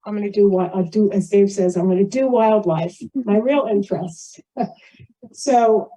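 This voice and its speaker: A woman's voice: medium pitch, normal speed, mellow, poor audio. A woman giving an informal report at a community meeting, plain-spoken and rambling, often drifting into asides. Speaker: board member giving a financial report. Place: community meeting shared over video call.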